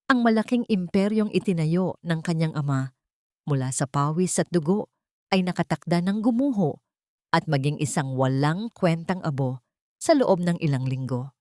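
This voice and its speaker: A woman with a Filipino accent reads a scripted story in a dramatic narrating style. Her voice sits on the low side. The recording is dry and close, with very little room sound. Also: a little mellow, no echo.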